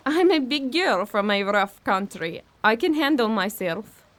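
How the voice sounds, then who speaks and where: A woman's voice: fairly high pitch, big pitch swings, unhurried, a bit bright. An actress playing a tough, sardonic Bulgarian woman minding a child in an audio horror drama, coaxing and wry. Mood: coaxing, confident.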